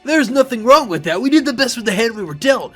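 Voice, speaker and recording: A man's voice: very high-pitched, expressive, fast talker, fairly bright tone, faint music behind, full character voice. A man playing a hearty, easygoing fighter in a fantasy game, talking in an informal, eager way. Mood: eager, friendly. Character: hearty, easygoing fighter who loves feasting.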